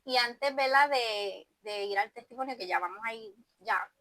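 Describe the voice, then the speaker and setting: A woman's voice: fairly high pitch, normal speed, pushed and bright, no room sound, rough recording. A woman hosting a church testimony interview, encouraging and affirming her guest with frequent "amén". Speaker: interview host.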